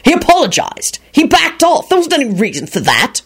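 A woman's voice: deep voice, huge pitch swings, moderate pace, creaky, a bit bright, breathy edge, exaggerated voice. A woman voicing a blunt, sarcastic male wizard in a story, incredulous and exasperated, often muttering. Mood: angry.

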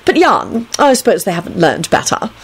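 A woman's voice: mid-range voice, very animated, moderate pace, breathy edge, poor audio. A woman voicing a coy, flamboyant, effeminate male vampire with dramatic, gushing politeness in an audiobook story.

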